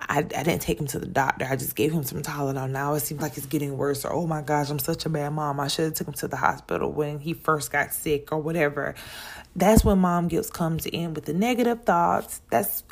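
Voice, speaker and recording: A woman's voice: low-pitched, a little mellow. A woman of thirty hosting a podcast, talking in a chatty, rambling way straight to other moms. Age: 30s. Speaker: podcast host. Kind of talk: casual solo podcast talk.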